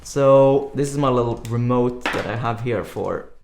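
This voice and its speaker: A man's voice: mid-range voice, a little slow, vocal fry, darkish tone, a little hiss or hum. A man hosting a live coding stream, chatting casually and rambling with lots of filler words. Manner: rambling, many "like" fillers, laughs easily. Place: home room. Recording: echoey room.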